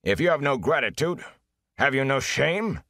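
A man voicing a calm, stern village mayor who speaks softly and rumbles. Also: normal speed, no room sound, clean studio sound. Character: calm, imposing male village mayor and innkeeper.

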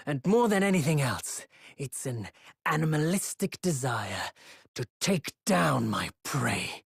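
An earnest young lawyer in a video game. He speaks slowly and haltingly with heartfelt intensity, his mid-pitched voice husky and dry. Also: sing-song, dry recording, full character voice. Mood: intense, stirred.

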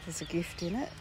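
A woman in her sixties with a husky voice, chatting slowly and warmly in a casual live stream from a sunny park, speaking into a phone held at arm's length. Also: fairly low pitch. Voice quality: husky today. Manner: slow, heartfelt, gently upbeat. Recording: faint outdoor noise with birds.

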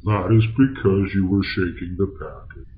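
A man playing a patient, formal male companion in an old-time radio parody, speaking in a calm, measured way. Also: sits low, natural voice. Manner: calm, measured, precise, slightly exasperated. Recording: muffled lo-fi audio with faint noise.

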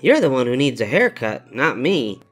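Older guy doing a spirited little storybook boy, high voice, swoops around, protesting, faint music. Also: sing-song, normal speed, close to an everyday voice. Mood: grumbling.

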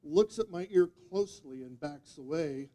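A man in his fifties giving a humorous storytelling speech, with theatrical deadpan delivery and dramatic pauses. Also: fairly high pitch. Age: 50s. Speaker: speech contest speaker. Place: meeting room before a live audience, indoors. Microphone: distant audience camera microphone.

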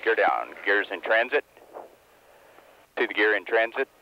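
A man's voice: on the low side, big pitch swings, unhurried. A man in a spacecraft cockpit calling out altitudes and speeds in clipped, matter-of-fact callouts over a headset intercom. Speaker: shuttle crewmember calling out landing data. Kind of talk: terse flight callouts. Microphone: crew headset intercom. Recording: dull archival cockpit audio, faint hiss.

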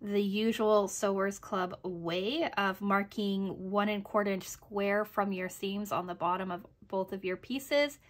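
A woman narrates a hobby review in a casual, chatty way, at an average pitch for a woman. Her voice is a little hoarse, and she feels her way through sentences, often pausing to check in with the listener. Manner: hesitant, chatty, trailing off and checking with "right?". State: slightly hoarse. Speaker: sewing pattern reviewer. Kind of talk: casual review narration over project photos.

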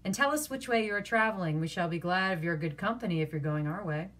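A woman's voice: on the low side, quick pace, fairly bright tone. A woman voicing a brash, merry young husband in a storybook reading, teasing and commanding in a theatrical, exclamatory way. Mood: teasing, bold.

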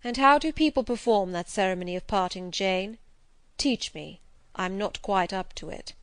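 A woman voicing a gruff, wry and domineering gentleman in a dramatised reading of a classic novel, speaking emphatically and teasingly. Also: mid-range voice, normal speed, dry recording, lightly acted. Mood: coaxing. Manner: emphatic, theatrical, commanding.

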